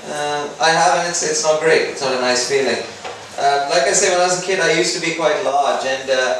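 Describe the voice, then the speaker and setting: A man from Sri Lanka doing a conversational, self-deprecating stand-up comedy routine. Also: fairly high pitch. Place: indoor live comedy event. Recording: very echoey room, distant mic, background noise.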